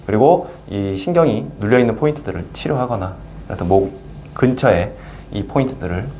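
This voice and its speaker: A man, a pain clinic doctor, explaining neck muscles and exercises to a patient in a relaxed, plain-spoken way. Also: on the low side, fairly quick, slight background noise, low-quality recording. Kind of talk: explanatory health talk with patient.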